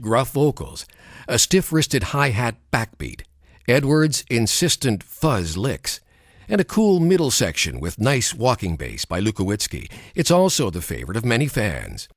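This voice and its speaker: A man narrating a scripted music documentary, reading in a measured, expressive way in a recording studio. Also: mid-range voice, no echo. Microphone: studio microphone.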